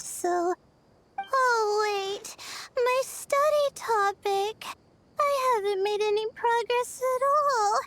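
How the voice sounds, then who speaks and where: A timid young student girl from an anime-style game, with an extremely high voice. She speaks slowly, hesitant and earnest, with faint noise behind. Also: a bit bright, heavily acted. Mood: flustered. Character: timid, self-doubting young female student.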